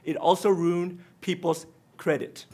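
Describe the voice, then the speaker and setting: A man's voice: high-pitched, little pitch movement, unhurried, dark tone. A man from Taiwan with a Taiwanese accent, speaking as a union treasurer candidate in a debate, direct and pointed. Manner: non-native phrasing, direct and pointed. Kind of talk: debate statement.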